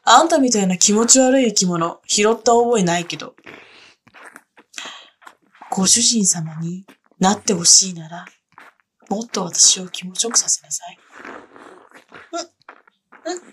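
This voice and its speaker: A woman's voice: medium pitch, unhurried, pauses often, slight background noise, close to an everyday voice. A woman playing a haughty, contemptuous young dominatrix who speaks in a bossy, scornful voice as she gives commands in an adult audio drama. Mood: scornful, commanding.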